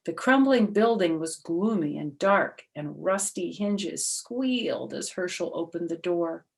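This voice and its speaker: A woman's voice: fairly low pitch, lively intonation, measured pace, creaky. A woman reading a picture book aloud as a storyteller, with chatty asides that explain things simply, as to young children.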